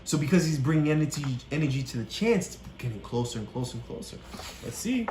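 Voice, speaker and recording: A woman with a deep voice and animated, up-and-down intonation explains a story to a child, encouraging and patient. The sound has noticeable room echo and a faint hiss behind it. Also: fast. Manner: encouraging, keeps checking understanding. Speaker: parent coaching a child through a read-aloud.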